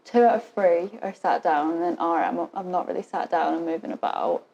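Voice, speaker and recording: A woman's voice: fairly low pitch, a little fast, darkish tone, lo-fi sound, slight background noise. A young woman in the role of a patient, answering questions briefly and informally, often saying "like". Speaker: student acting as patient being questioned.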